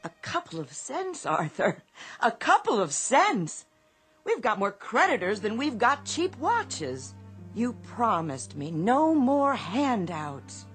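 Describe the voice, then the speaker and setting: A woman's voice: on the high side, sing-song, slow, a bit bright, faint music behind, heavily acted. A woman acting in a radio drama as a shopkeeper's worried, exasperated but loyal wife.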